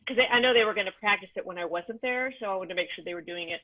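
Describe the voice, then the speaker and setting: A woman's voice: mid-range voice, very fast. A woman from Texas, a mobility instructor, telling a chatty story with many fillers over a video call. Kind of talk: casual anecdote on video call. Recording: tinny phone-like line.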